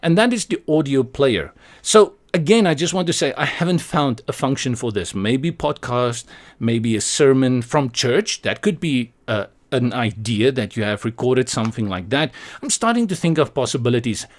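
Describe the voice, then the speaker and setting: A man hosting a software tutorial, explaining step by step in a conversational way with casual asides. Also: mid-range voice, lively intonation, moderate pace. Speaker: tutorial host.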